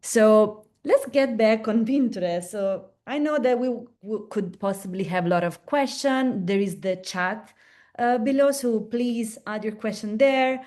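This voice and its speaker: A woman's voice: on the high side, a little slow, darkish tone. A woman from Italy with an Italian accent, presenting in a webinar in a chatty way, with frequent restarts and tag questions. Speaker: platform representative presenting at a webinar.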